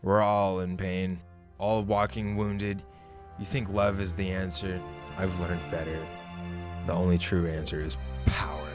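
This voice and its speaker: Man as embittered villain in audio drama; deep, solemn, world-weary, soft music underneath. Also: little pitch movement, a little slow. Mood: bitter, resigned. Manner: grave, solemn.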